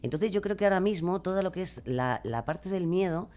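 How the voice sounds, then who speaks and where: Woman, Castilian Spanish accent, casual interview chat, expressive, rambling, self-deprecating. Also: on the low side, a little fast. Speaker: guest, true-crime podcaster.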